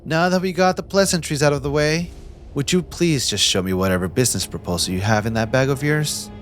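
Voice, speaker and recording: A man playing a possessive, manipulative young mafia heir in an audio roleplay, condescending and smoothly taunting with theatrical politeness. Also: medium pitch, fairly quick, soft background music, close to an everyday voice. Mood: mocking, menacing. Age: young adult. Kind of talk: scripted ASMR audio roleplay addressed to the listener.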